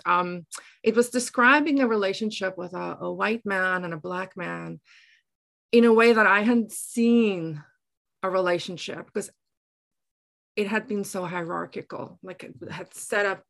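A middle-aged woman from South Africa with an Afrikaans accent, telling personal stories reflectively in an earnest way, often halting to search for words. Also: mid-range voice, vocal fry. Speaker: interview guest, writer. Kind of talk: reflective personal storytelling in conversation.

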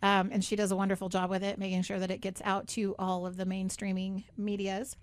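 A woman's voice: medium pitch, completely flat delivery, a little fast, clean tone. A woman hosting a radio show in a studio, talking in a chatty, upbeat way with many asides. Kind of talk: casual talk radio chat.

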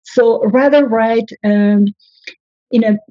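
A woman, a writing coach giving measured, instructive advice in an interview. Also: a little high, fairly even intonation, no echo, muffled recording. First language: French. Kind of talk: interview answers, advising.

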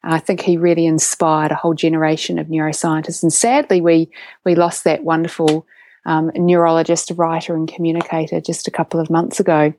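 A woman with a New Zealand accent talks through her career as an interview guest, eager and a little halting, full of ums and repeated words. Her voice sits on the low side, and the recording is dry and close with no room sound. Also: level tone, warm tone, no room sound. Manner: enthusiastic, with frequent restarts and ums. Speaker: guest, neuroscientist and science communicator. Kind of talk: conversational interview.

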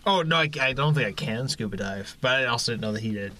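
A man's voice: medium pitch, lively intonation, fast talker, pushed and bright. A man co-hosting a casual podcast, joking and rambling as he talks. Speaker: podcast co-host. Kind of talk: casual bar-talk podcast chat.

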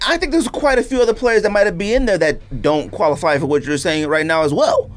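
A man's voice: high-pitched, animated, a little fast. A man in a casual sports debate, talking in a slangy, insistent way.

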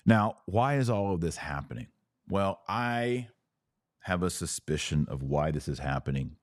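Low-voiced man, emphatic religious commentator. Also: expressive, unhurried, no room sound, clean studio sound. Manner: emphatic, didactic, asks and answers own questions. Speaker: podcast host. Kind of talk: religious commentary podcast, explaining to listeners.

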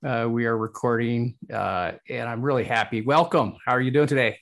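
A man hosting a podcast interview over a video call, speaking in a relaxed, hesitant way and often restarting his phrases. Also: mid-range voice, a little fast, gravelly. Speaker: podcast host.

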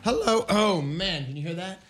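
Man, hoarse voice, radio host, earnest, halting, restarts often. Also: sits high, expressive, fairly bright tone, soft background music. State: hoarse from illness. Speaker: ministry program director.

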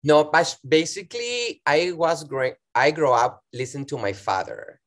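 A middle-aged man from Venezuela with a Venezuelan accent, speaking English as a second language as an interview guest, animated and given to restarts. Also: a little high, moderate pace. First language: Spanish. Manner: animated, restarts often, acts out his own past words. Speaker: guest performer. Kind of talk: casual interview chat.

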